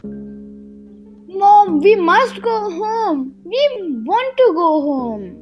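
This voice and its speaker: A woman's voice: very high-pitched, expressive, drawn-out words, soft background music, heavily acted. A woman acting a frightened young boy in a radio play, pleading and anxious. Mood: scared, pleading. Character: frightened young boy, Jack, in spooky hall.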